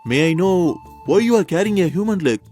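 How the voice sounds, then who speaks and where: A man's voice: high voice, animated, a little fast, darkish tone, faint music behind. A man voicing an earnest young traveler who pleads and asks questions in a cartoon story. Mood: polite. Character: anxious young male traveler, curious and pleading. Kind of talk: cartoon voice acting.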